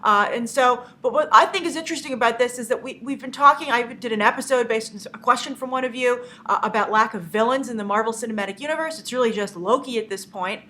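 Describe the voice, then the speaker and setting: A woman's voice: on the high side, fast, few pauses, bright, forceful tone. Stuffy-nosed woman hosting movie news. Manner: chatty, many "uh" fillers, opinionated. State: stuffy from a cold. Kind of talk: casual news commentary.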